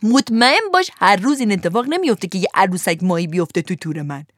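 A woman putting on a greedy ogre-like fisherman, who savors every word slowly and mockingly, his voice swinging widely up and down. It is a dry, close storybook reading. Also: medium pitch, lively intonation, no room sound, natural voice. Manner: slow, relishing, mocking.

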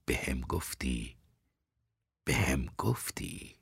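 A man voicing a weary, sick old man who speaks slowly, gently and quietly in an audiobook. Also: very deep voice, very animated, gravelly, breathy edge, no room sound, studio quality, voice pushed down, full character voice. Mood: quiet, sorrowful. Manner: hushed, slow, gentle. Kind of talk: dramatic audiobook character acting.

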